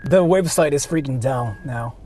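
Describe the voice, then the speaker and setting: A man's voice: medium pitch, moderate pace, warm tone, soft background music. A man from Japan, chatting casually on a livestream in blunt, repetitive slang with some swearing. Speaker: livestreamer, channel host. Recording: outdoor street noise.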